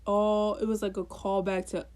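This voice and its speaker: A woman co-hosting a podcast, chatting casually in an unhurried, teasing way with lots of fillers. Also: medium pitch, level tone, a little mellow. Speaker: podcast co-host. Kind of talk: casual chat.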